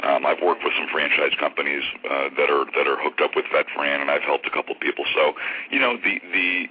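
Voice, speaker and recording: A man with an extremely deep, husky voice, congested from a head cold. He talks in a relaxed, halting way as a guest. The sound comes over a tinny, phone-like radio line. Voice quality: low voice. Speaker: franchise consultant guest. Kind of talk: casual phone interview.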